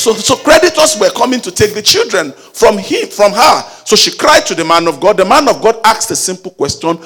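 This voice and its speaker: A man preaching a sermon with call-and-response exhortations, rising at times into a sung shout. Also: high-pitched.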